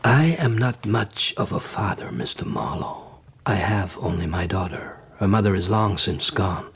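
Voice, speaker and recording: A rich, dangerous crime boss from a radio detective play. His low voice is very raspy and swings widely in pitch. He speaks formally and with cold control. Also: sing-song, full character voice. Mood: grave, protective. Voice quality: husky, raspy. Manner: deliberate, formal, coldly controlled. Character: menacing, dignified rich crime boss and doting father. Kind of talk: radio detective drama acting.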